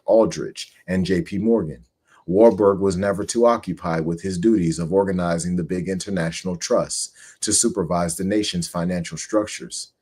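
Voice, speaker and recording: Man in his forties, low husky voice, measured and earnest host reading and commenting. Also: darkish tone. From United States. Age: 40s.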